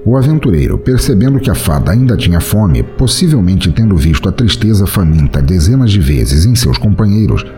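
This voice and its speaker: A man's voice: deep voice, big pitch swings, brisk, few pauses, mellow, faint music behind. A man with a Brazilian accent narrating a scripted fantasy story as the storyteller of an audio drama.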